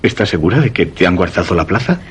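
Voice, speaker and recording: Deep-voiced, brooding ailing husband. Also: male voice, big pitch swings, slight background noise. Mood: wary. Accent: Castilian Spanish. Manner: measured, literary, brooding delivery. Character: ailing, suspicious bedridden husband telling his macabre tale. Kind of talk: Spanish radio suspense drama narration.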